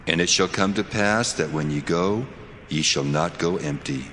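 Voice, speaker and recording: An actor playing God as a solemn, commanding deity who declaims deliberately in a scripture audio drama. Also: male voice, low voice, fairly bright tone, a little hiss or hum. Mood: commanding, prophetic. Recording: long cavernous reverb under prominent music. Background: music.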